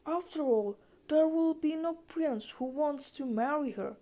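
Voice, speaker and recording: A woman's voice: high-pitched, a little slow, dark tone, voice pushed up. A woman voicing scornful palace nobles in a storybook tale, gossiping with sneering disapproval.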